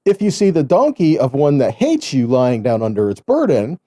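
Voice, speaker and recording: A man's voice: mid-range voice, wildly swooping pitch, moderate pace, warm tone, no echo, clean studio sound. A man giving a sermon as a religious teacher, reading scripture and explaining it in a conversational way, checking in with his listeners.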